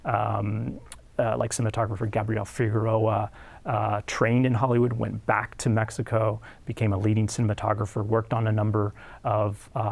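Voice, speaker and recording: Gravelly, husky-voiced male professor. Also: on the low side, darkish tone. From United States. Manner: hesitant, many fillers and restarts. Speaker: film studies professor. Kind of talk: academic interview.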